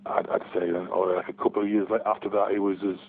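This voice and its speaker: A man's voice: low voice, flat delivery, fast talker, slightly rough. A man, talking hesitantly as a guest in a casual phone interview. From Barnsley, England. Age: 40s. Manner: hesitant, many restarts and ums. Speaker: guest fan. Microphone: telephone. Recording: tinny phone line.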